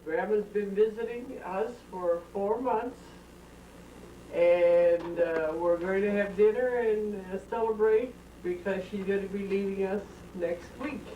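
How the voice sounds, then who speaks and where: A relative filming a family gathering, casually introducing everyone and gently steering an older relative's storytelling. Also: medium pitch, a little slow. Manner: relaxed, organizing, gently steering the talk. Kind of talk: casual home video narration. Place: family home in Arizona. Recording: roomy, distant, dull lo-fi home tape with faint hiss.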